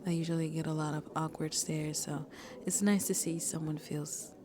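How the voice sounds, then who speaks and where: Woman playing a shy, low-voiced vampire date. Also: flat delivery, normal speed, close to an everyday voice. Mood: shy, warm. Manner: soft-spoken, hesitant, polite, often dropping to a whisper. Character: shy, sweet female vampire on a first date. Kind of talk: ASMR roleplay. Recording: crowd chatter in the background. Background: crowd chatter.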